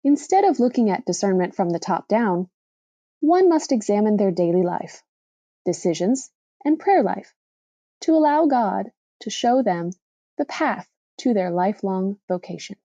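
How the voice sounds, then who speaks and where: A woman reading a reflective religious essay aloud as scripted narration, with measured, deliberate phrasing. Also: medium pitch, animated, warm tone, no room sound, highs cut off. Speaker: reader of a blog post for a podcast.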